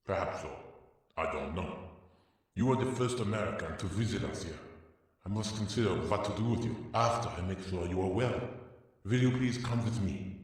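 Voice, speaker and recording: Deep-voiced, kindly German priest. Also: male voice, no echo. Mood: caring, reassuring. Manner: gentle, deliberate, explaining patiently. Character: kindly German village priest, paternal and calm. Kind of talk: audio drama acting. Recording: clear background noise behind the voice.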